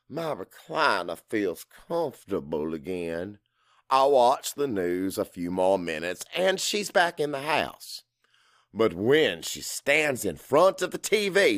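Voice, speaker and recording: A man's voice: mid-range voice, a bit bright, no room sound, clean studio sound. A man reading a first-person audiobook narration in a dramatic, brooding and intense manner.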